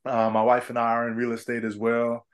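A man's voice: medium pitch, monotone, fairly quick. A middle-aged man from Trinidad, a former pro basketball player, chatting casually as an interview guest, laughing often and saying "man" and "bro".

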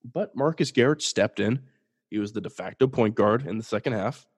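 A man's voice: on the low side, normal speed, breathy edge. Congested young man hosting a sports podcast. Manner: casual, jokey, restarts and self-deprecating asides. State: congested, sniffly. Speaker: podcast host. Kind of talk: solo sports podcast commentary.

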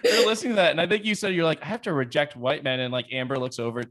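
A man's voice: on the high side, racing, a bit bright. A man co-hosting a podcast, chatting in an informal, enthusiastic way. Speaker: podcast co-host. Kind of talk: casual chat.

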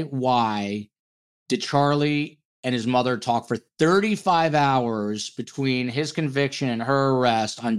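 A man hosting a casual true-crime panel podcast, talking in a blunt, teasing, jokey way. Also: medium pitch. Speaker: podcast host.

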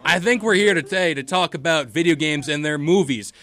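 A man's voice: a little high, brisk, fairly bright tone. A man hosting a casual gaming podcast, talking in a slangy, hyped-up way. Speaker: podcast host.

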